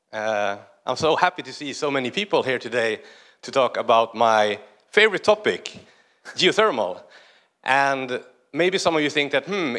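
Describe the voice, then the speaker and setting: A man's voice: medium pitch, fairly bright tone. Swedish-accented man giving a conference talk. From northern Sweden. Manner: conversational, with frequent "uh" pauses. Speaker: conference speaker. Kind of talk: conference presentation. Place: large conference hall stage.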